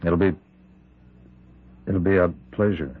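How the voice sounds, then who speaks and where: A low-voiced, uneasy prison warden. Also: male voice, fairly even intonation, takes it slowly. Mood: solicitous, hesitant. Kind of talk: 1970s radio drama acting. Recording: dull, noisy old radio recording.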